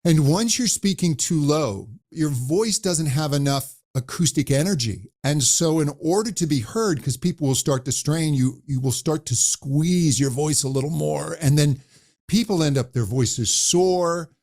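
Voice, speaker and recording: Voice coach guy talking in an interview, upbeat, sings and swoops to show a point. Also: measured pace. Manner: animated, demonstrates pitch with his voice. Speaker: voice coach guest. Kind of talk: conversational interview.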